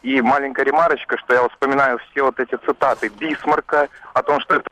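A man calling in to a radio show to ask a question, speaking in a halting way with many fillers. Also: mid-range voice, steady tone, bright, forceful tone, low-quality recording. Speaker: caller.

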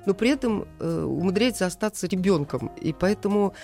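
Casting: mature female interviewee, mid-range voice, thoughtful conversational delivery with pauses and stressed phrases, light music underneath. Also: mid-range voice, expressive, vocal fry, a little mellow. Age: middle-aged. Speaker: guest, animation film director.

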